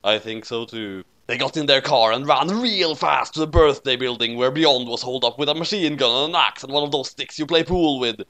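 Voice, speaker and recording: A man's voice: medium pitch, a little fast, few pauses, a bit bright. A man giving a theatrical, mock-dramatic scripted narration of a comic story. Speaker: narrator reading a comedic fan fiction.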